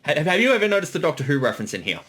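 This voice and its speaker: A man's voice: fairly high pitch, huge pitch swings, very fast, fairly bright tone, husky. A man giving casual behind-the-scenes creator commentary, eagerly stammering and repeating words as he explains.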